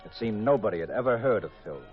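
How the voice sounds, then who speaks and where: Seeking a man to play a hard-boiled gumshoe: very deep, deadpan, vintage muffled radio sound with music. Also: fast, natural voice. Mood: tense, matter-of-fact. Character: hard-boiled male private detective, cool, wry, narrating his case. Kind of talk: 1940s-50s radio mystery acting, first-person narration.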